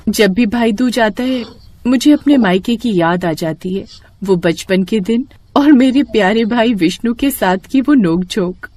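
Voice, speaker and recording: A woman voicing a gentle, loving goddess and devoted sister, with formal, affectionate speech, in an animated mythological story. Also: on the high side, unhurried. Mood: nostalgic.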